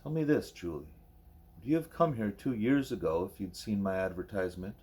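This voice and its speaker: Audiobook gorilla mentor, played by a man: patient, wise, formal, probing, with a low, raspy-edged voice. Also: mellow. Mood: patient, instructive. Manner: measured, probing, formal diction. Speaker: teacher.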